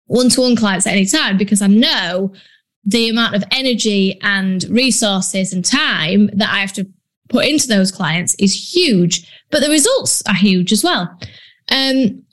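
A woman's voice: medium pitch, a little slow, fairly bright tone. A woman with a Yorkshire accent, a business coach talking bluntly and chattily in a casual solo podcast, with plenty of ums. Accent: Yorkshire English. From Yorkshire, northern England. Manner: blunt, chatty, frequent ums and British slang.

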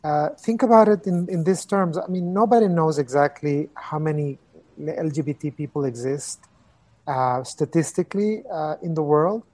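A man from Costa Rica explains his views in a remote interview, heard through a video call connection. He speaks thoughtfully but haltingly, filling pauses with ums and repeating words as he works toward each point. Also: on the high side, a little mellow. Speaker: interviewed human rights expert.

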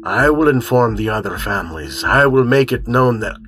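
A man's voice: medium pitch, expressive, moderate pace, fairly bright tone, soft background music, pitched above the performer's own voice, lightly acted. A man voicing a frail, dying old man with a hacking cough in an audiobook mystery. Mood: solemn.

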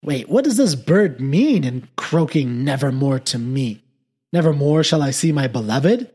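A grief-stricken lonely man, anguished and desperate, as a storyteller puts him on. His husky voice climbs higher and louder as he pleads in a theatrical manner. The tone stays raw and strained. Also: animated, unhurried. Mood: brooding. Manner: pleading, theatrical, raises pitch and volume.

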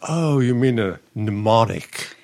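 An actor playing a smug, exasperated but caring elderly husband, a retired dean, in a radio play, speaking in a dry, testy way. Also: male voice, on the low side, animated, slow, vocal fry, a little mellow, heavily acted. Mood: dry, patient.

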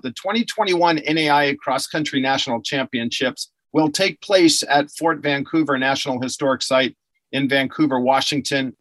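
A man's voice: a little high, a bit bright, no room sound. Chatty enthusiastic male interviewer, dry sound. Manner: chatty, enthusiastic, many fillers. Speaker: podcast host interviewing athlete and coach. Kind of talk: casual interview.

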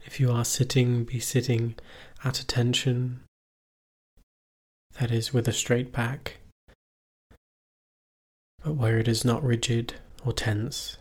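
A man leading a guided meditation as a teacher, giving calm, measured instructions with long pauses between phrases. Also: mid-range voice, husky.

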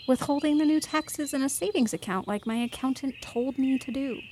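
A woman's voice: high voice, a little fast, darkish tone, slight background noise, lightly acted. An actress playing an anxious, guilt-ridden woman in an audio drama, speaking earnestly and with exasperated emotion. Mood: ashamed, earnest. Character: anxious, guilt-ridden woman, abuse survivor facing an inquiry. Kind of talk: fiction podcast audio drama acting.

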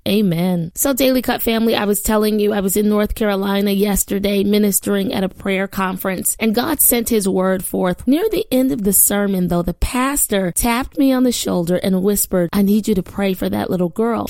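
A woman hosting a daily devotional podcast, preaching and praying with fervor, her voice hoarse after a weekend of ministry, recorded in a small studio. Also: mid-range voice, no echo. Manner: warm fervent preaching and prayer.

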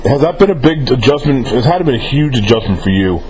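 A man's voice: mid-range voice, expressive, fast, a crowd in the background, poor audio. A man hosting a casual interview, informal and rambling, often rephrasing his questions as he goes. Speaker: interviewer relaying fan questions.

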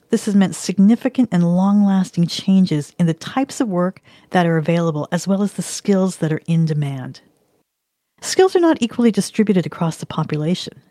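A woman narrating a documentary in a calm, explanatory scripted read. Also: fairly low pitch, no echo.

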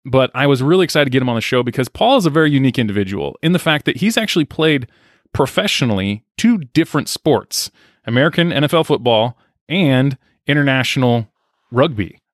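A man's voice: medium pitch, expressive, brisk, no echo, clean studio sound. A young adult man hosting a podcast, speaking in an earnest, reflective, conversational way as he introduces and interviews a guest. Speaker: podcast host.

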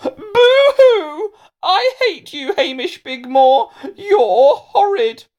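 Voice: medium pitch, big pitch swings, creaky, a little mellow, muffled recording, heavily acted. A storyteller voicing a prim, whiny, tearful little schoolgirl in a children's storybook, drawing out the words in a wail. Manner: wailing, drawn-out.

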